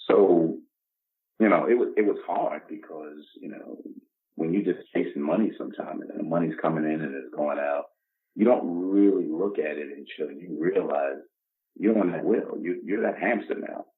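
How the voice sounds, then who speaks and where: Very deep-voiced New man in his fifties. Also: moderate pace. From the Bronx, New York, United States. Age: 50s. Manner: emphatic, acts out imagined dialogue. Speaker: podcast guest. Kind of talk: casual motivational conversation. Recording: dull, muffled lo-fi recording.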